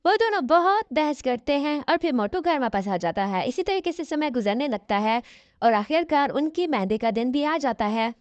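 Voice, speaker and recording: A woman's voice: high voice, expressive, moderate pace, no room sound. A woman narrating a scripted cartoon story as the storyteller. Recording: dull, lo-fi audio.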